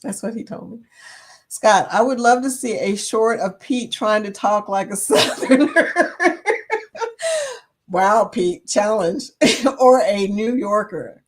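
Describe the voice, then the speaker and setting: A woman's voice: medium pitch, slow pace. Woman, middle-aged, live chat host, chatty, laughs often, reads comments aloud. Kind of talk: casual live chat, reading viewer comments.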